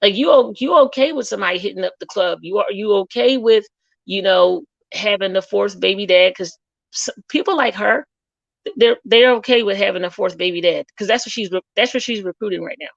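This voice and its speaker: Gravelly woman panelist on muffled audio. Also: mid-range voice, fairly quick, no echo. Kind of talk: casual opinionated discussion.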